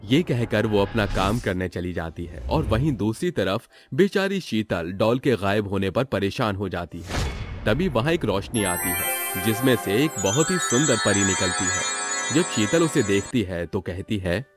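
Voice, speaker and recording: A man's voice: lowish voice, sing-song, measured pace, soft background music. A man narrating a scripted moral story as the storyteller.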